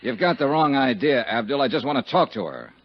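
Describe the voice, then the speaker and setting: Hardboiled American sleuth, mid-pitched, dry wisecracks, punchy varied intonation, vintage radio drama. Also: male voice, animated, brisk, no echo. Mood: sardonic, impatient. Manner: terse, dry, sardonic. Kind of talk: 1950s radio detective dialogue and narration. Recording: dull, lo-fi 1950s radio recording.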